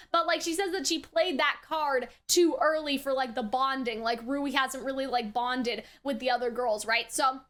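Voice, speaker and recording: A woman's voice: sits high, sharp tone. A woman giving a casual, rambling review and commentary, thinking aloud with lots of hedges and tag questions. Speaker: reaction and review host.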